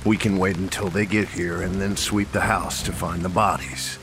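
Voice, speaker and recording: An actor playing a grim, weary rural sheriff in a horror audio drama, laconic and deadpan. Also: male voice, low-pitched, moderate pace. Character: grim, weary rural sheriff, matter-of-fact about monsters. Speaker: supporting character, sheriff. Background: rain and music.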